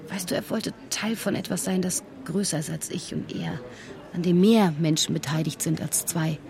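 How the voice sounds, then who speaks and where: A woman's voice: lowish voice, normal speed, gravelly, a little mellow. A young woman playing a regretful, anguished soldier's girlfriend, emotional and insistent, in a radio play. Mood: wistful. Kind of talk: German radio play acting.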